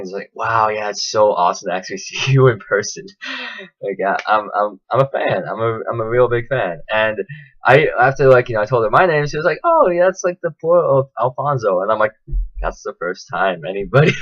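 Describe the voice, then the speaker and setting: A man telling a casual, enthusiastic vlog recap in a chatty, animated way. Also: fairly low pitch, brisk, dull audio. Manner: animated, chatty, acts out quotes in raised voices. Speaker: vlogger.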